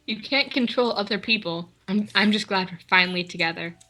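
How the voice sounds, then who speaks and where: A woman's voice: mid-range voice, brisk, pushed and bright, rough recording. A young woman chatting and laughing with friends while reading a fan story aloud. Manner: laughing, bantering, swearing. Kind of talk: casual chat during a dramatic reading.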